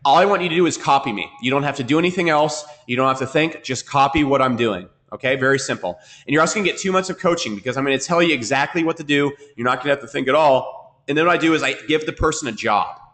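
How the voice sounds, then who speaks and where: A man's voice: medium pitch, fairly bright tone. A man teaching a marketing webinar, sniffling from a cold and checking in with "okay?" again and again. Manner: fast, repetitive, keeps asking "okay?". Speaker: marketing trainer.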